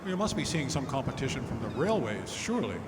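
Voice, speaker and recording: A man's voice: medium pitch, sing-song, quick pace, natural voice. A man playing a genteel, curious clergyman and writer with formal, bookish phrasing in an old-time radio play. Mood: courteous, reflective. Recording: echoey hall with background noise.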